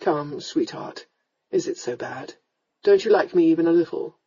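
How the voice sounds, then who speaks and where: Woman voicing a gruff, low-pitched young lord. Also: animated, moderate pace, dark tone, dull audio. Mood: tender. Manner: blunt, commanding, determined. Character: gruff, hot-tempered, tender young aristocratic rake. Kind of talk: romance audiobook character voice.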